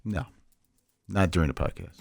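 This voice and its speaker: Middle-aged male co-host, fairly low pitch, close dry sound, joking and rambling, loose unscripted talk. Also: normal speed, vocal fry, no echo, soft background music. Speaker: podcast co-host. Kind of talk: casual unscripted chat.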